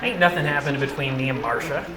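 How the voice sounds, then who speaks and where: An actor playing a bashful, casual police officer in audio drama dialogue, folksy, hesitant and a little defensive. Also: male voice, medium pitch, quick pace, natural voice. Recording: chattering crowd behind, busy indoor ambience. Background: crowd chatter.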